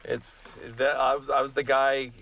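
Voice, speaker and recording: A man's voice: medium pitch, measured pace, slight background noise. A man who is a voice actor, chatting on a commentary track and telling self-mocking stories, acting out the quotes. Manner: animated storyteller, self-mocking, acts out quotes. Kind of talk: commentary chat.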